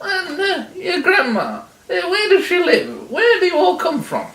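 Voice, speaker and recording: A woman's voice: very high-pitched, wildly swooping pitch, a little slow, a bit bright, reverberant, far from the mic, voice pushed up, exaggerated voice. A woman voicing a feisty, suspicious old granny in a storybook tale, with blunt British phrasing. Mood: warming, curious.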